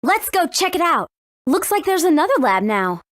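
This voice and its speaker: A woman's voice: very high voice, very animated, normal speed, fairly bright tone, dry recording, studio quality, full character voice. A woman voicing a spirited, assertive teenage girl adventurer in a video game. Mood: informative.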